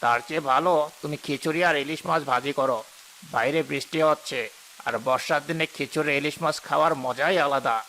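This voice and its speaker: Man playing a bright, sharp-voiced greedy village headman. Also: on the high side, fairly even intonation, fairly quick, slight background noise, poor audio. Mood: dismissive. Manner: dismissive, scolding, self-important. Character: greedy, callous, deceitful village headman and husband. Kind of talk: cartoon voice acting. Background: faint music.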